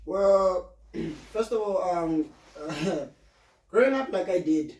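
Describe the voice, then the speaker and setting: A South African man of about twenty-nine tells his story in a loose, relaxed interview manner, mixing local words into his English. His voice is mellow and sits fairly high. The recording is roomy and echoey, with the mic some way off. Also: slow pace. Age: 20s. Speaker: guest, brand founder. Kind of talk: casual interview conversation. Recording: roomy, from a distance.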